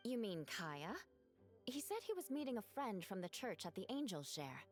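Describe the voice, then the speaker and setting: A woman's voice: medium pitch, big pitch swings, moderate pace, soft background music, close to an everyday voice. An actress playing a dry, knowing, lightly teasing woman knight in a video game. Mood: wry.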